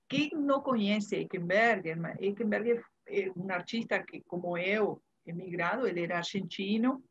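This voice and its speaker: Woman, 50s, husky, Uruguayan Spanish accent, casual interview storytelling, dull video-call sound. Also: medium pitch. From Montevideo, Uruguay. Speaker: interview guest, curator and art history professor. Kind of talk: casual reminiscing interview talk. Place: indoor room, video call. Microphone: earphone headset on video call.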